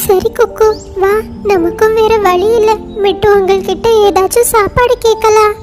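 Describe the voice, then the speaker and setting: A woman voicing a caring older sister bird chick in a cartoon, calm and comforting. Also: extremely high pitch, moderate pace, over loud music.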